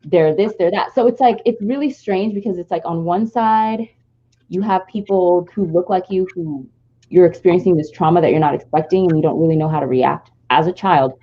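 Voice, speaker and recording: Low-voiced woman telling a personal story. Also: brisk, warm tone, lo-fi sound. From Nigeria. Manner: earnest and reflective, long flowing stories. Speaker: podcast co-host.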